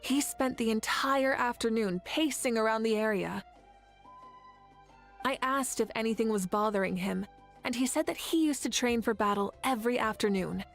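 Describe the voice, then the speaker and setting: A woman voicing a thoughtful adult game character who tells of events in a calm, measured way. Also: a little high, faint music behind, natural voice. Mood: reflective.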